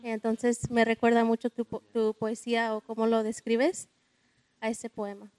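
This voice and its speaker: A Mexican-American woman speaks up from the audience in careful, halting Spanish, drawing on her English. Her voice is fairly high and flat. It sounds dry and close. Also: no echo. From United States. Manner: hesitant, searching for words. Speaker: audience member. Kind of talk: audience comment at a book talk.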